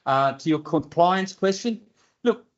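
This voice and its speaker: A man's voice: sits high, animated, unhurried, muffled recording. An older man with an Australian accent, answering audience questions at a seminar in a direct, plainspoken way with dry humour. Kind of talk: seminar Q&A explanation. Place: university seminar room.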